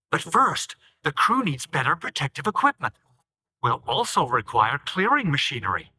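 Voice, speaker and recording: A man playing an energetic, emphatic engineer who urgently rallies his crew in a video game. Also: fairly high pitch, full character voice. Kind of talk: video game character acting.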